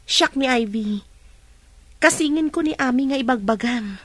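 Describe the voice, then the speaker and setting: An actress playing a friendly, teasing young nurse in a radio drama, with an Ilocano Filipino accent. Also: female voice, sits high, normal speed, fairly bright tone, no echo. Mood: gentle.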